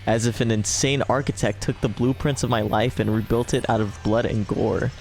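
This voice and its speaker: A man's voice: mid-range voice, steady tone, moderate pace, vocal fry, darkish tone, a little hiss or hum. A man hosting a gaming video, switching between casual joking chat with a friend and reading a visual novel's narration aloud.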